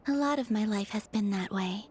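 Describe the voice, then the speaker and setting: A woman voicing a gentle, enigmatic, pensive young woman in a video game, with soft and wistful delivery. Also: mid-range voice, moderate pace, a little hiss or hum, close to an everyday voice. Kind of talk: dramatic video game dialogue. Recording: faint game music behind.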